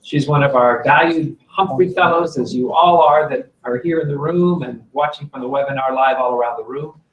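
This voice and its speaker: A man moderating a panel and introducing speakers smoothly and formally. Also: medium pitch, normal speed, highs cut off. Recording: distant mic in an echoey room.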